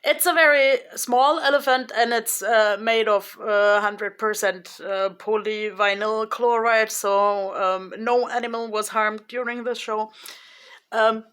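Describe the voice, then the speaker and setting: Slow, hesitant woman vlogger. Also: a little high, steady tone, fairly bright tone. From Germany. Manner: hesitant, many fillers and restarts; slow, thoughtful delivery. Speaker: YouTuber. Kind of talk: casual vlog recap.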